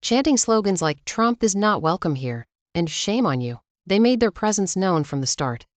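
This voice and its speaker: A woman's voice: mid-range voice, sing-song, normal speed. A woman delivering scripted news narration as a channel's narrator.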